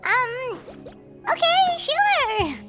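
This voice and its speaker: A chirpy little fairy sidekick from a video game. The voice is extremely high and childlike, with huge leaps in pitch. Music plays prominently behind the excitable, chatty delivery. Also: female voice, extremely slow, exaggerated voice. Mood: puzzled. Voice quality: squeaky, childlike. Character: tiny, childlike, chirpy, curious fairy companion. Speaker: voice actor. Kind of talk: scripted video game dialogue. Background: prominent background music.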